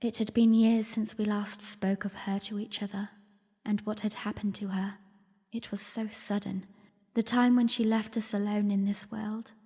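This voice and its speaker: A woman playing a young princess who narrates her own story in a formal, reflective audio drama style, earnest and weary with a quiet defiance. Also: mid-range voice, flat delivery, normal speed, husky. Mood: reflective, wistful. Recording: long reverb, music underneath. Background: music.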